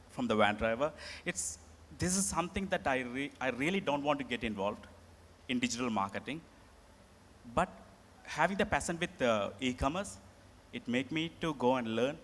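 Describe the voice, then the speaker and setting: A man's voice: mid-range voice, a bit bright. A man speaking earnestly as an entrepreneur on a conference panel. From Sri Lanka. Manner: earnest, plain halting phrasing. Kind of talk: panel talk. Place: large conference hall. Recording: echoey hall, faint room noise.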